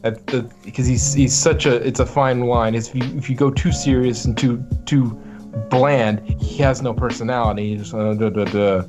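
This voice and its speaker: A man talks casually as a podcast guest with music clearly playing behind him. He is reflective and halting, working out his thoughts on acting as he goes. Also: mid-range voice, steady tone. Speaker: guest voice actor.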